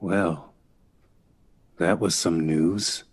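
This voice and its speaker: A man's voice: sits low, steady tone, very slow, husky, exaggerated voice. An actor playing a proud, wounded male lover and performing artist in a period audio drama. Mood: stern concern.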